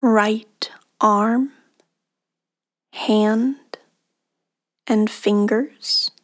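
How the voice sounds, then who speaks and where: A woman leading a guided meditation in a hushed, breathy, soothing delivery with long pauses between phrases. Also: medium pitch, fairly even intonation. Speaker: meditation guide, podcast host.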